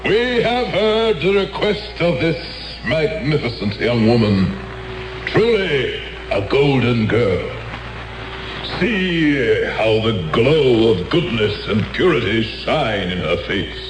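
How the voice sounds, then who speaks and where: A grandly solemn cult leader, his pitch sweeping high and low as he intones in slow, deliberate phrases. He sounds as if he is preaching in a roomy, echoing hall, with music running behind him in this old radio play. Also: big pitch swings. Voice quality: slow, sonorous, declamatory delivery. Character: charismatic male cult leader, grandly solemn faith healer. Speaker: preacher healing before followers. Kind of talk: 1970s radio drama acting.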